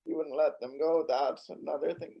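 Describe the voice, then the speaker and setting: Higher-voiced man, dull video-call audio. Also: fairly even intonation, gravelly. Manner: halting, earnest, repeats words. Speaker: online group participant. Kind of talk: casual discussion answer.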